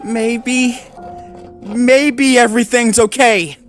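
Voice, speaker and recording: A man's voice: sits high, slow pace, faint music behind, pitched above the performer's own voice. A man doing a jittery, stammering Great Dane. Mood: panicked. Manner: nervous, stammering, flustered. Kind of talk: storybook character voice.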